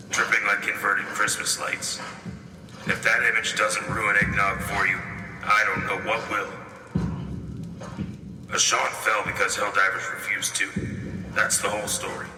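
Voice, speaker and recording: Guy doing gruff wry war correspondent for a radio drama, flat delivery, far off and echoey. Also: fairly low pitch. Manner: deadpan, dryly sardonic. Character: grizzled, wry male war correspondent in a sci-fi war. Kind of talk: in-world radio field report. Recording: roomy long reverb, distant, music behind.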